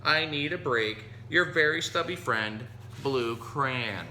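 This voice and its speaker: A man's voice: medium pitch, slow, bright, forceful tone. A man voicing a short, stubby blue crayon from a picture book, loyal and grateful but worn out. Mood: grateful, weary. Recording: roomy echo, some noise.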